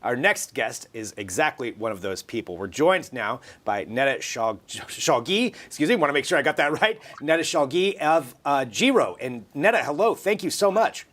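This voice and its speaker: A man's voice: medium pitch, sing-song, normal speed, hardly any pauses, fairly bright tone. A man hosting a live online show, presenting and interviewing in a chatty, conversational, unscripted way.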